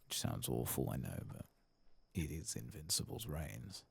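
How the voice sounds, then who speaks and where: A deep-voiced man narrates his video game in a soft ASMR style. His delivery is breathy and crackly, often close to a whisper, and casually murmured. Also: very animated, measured pace, no echo. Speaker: ASMR gaming channel host.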